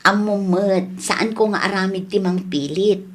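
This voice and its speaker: A woman playing a chatty, good-natured village wife and mother in a comedy radio drama, talking in an unhurried, conversational way. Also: mid-range voice, steady tone, close to an everyday voice. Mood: chatty, easygoing.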